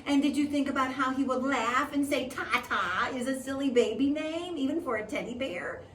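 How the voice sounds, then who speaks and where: A woman's voice: sits high, normal speed, a little hiss or hum, pitched above the performer's own voice, lightly acted. A woman voicing a teasing sister from a children's storybook, with pointed, sing-song questions. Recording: echoey room, distant mic.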